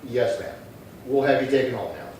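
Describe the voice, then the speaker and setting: A man playing a businesslike, deadpan police detective, delivering clipped dialogue and case narration in a 1950s-style radio crime drama. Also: medium pitch, a little fast, close to an everyday voice. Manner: clipped, deadpan, matter-of-fact. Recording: very echoey room, distant mic, faint noise.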